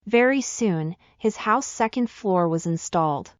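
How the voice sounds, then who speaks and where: A woman's voice: medium pitch, animated, a little slow, no echo, lo-fi sound. A woman reading a scripted children's fairy tale as the storyteller.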